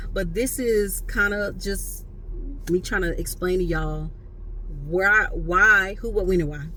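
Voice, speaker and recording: A woman's voice: medium pitch, slight background noise, rough recording. A woman in her late thirties, chatting casually and playfully to her viewers, slangy and loose. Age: late 30s. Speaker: vlogger. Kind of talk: casual talk to camera.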